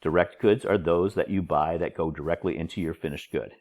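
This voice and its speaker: Low-voiced man lecturing with a cold. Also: brisk, a little mellow. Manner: informal, chatty, joking asides. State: nasal from a cold. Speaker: college instructor. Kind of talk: recorded online lecture.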